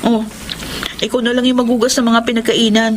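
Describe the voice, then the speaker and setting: Filipino radio drama wife woman acting, young, caring but bossy, highish voice, noisy background. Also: flat delivery, brisk, natural voice. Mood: practical, instructing.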